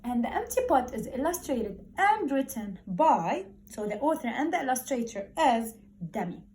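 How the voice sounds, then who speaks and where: A woman primary school teacher speaking to young children in an encouraging, expressive way, repeating words for emphasis as she talks and reads aloud. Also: high-pitched, moderate pace, slight background noise.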